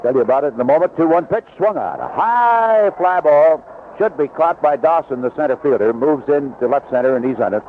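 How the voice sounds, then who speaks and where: A man does live baseball play-by-play for vintage radio. His medium-pitched voice moves up and down animatedly as he follows the game and reads promos. The broadcast is narrow and tinny, with clear noise around him. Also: animated, a little fast. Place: outdoor ballpark broadcast booth. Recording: band-limited vintage AM radio broadcast, noisy.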